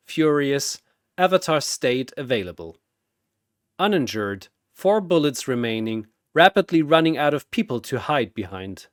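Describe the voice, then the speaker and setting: Male narrator for fantasy battle story: solemn, theatrical, measured pace, swooping pitch. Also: dry recording, studio quality. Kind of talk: dramatic scripted battle narration.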